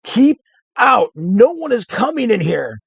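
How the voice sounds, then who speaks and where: A man's voice: very high voice, sing-song, measured pace, gravelly, husky, no room sound, muffled recording, pitched above the performer's own voice, full character voice. A man voicing a frantic, furious hairy hermit who shrieks and repeats himself in a story reading. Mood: screaming fury.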